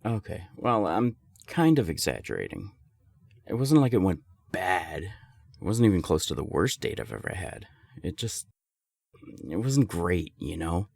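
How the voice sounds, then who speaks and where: A man's voice: low voice, sing-song, unhurried, gravelly, a little mellow, no echo, studio quality, heavily acted. A young man acting a candid serial-dater friend who is secretly smitten, conversational and emphatic, in an intimate audio roleplay. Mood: bored venting. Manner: conversational, hesitant, emphatic swearing.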